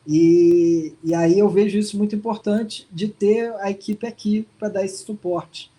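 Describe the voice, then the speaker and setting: A man's voice: sits high, fairly even intonation, a little slow, dark tone, low-quality recording. A man being interviewed over a video call, speaking in a casual, hesitant way with many restarts and fillers. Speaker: interview guest. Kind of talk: casual testimonial chat.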